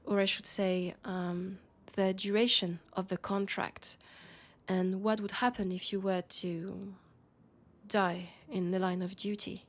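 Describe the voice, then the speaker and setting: A woman with a French accent playing a grave, caring futuristic policewoman in an intimate sci-fi audio roleplay, speaking in measured short phrases. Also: medium pitch, little pitch movement. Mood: grave, confiding.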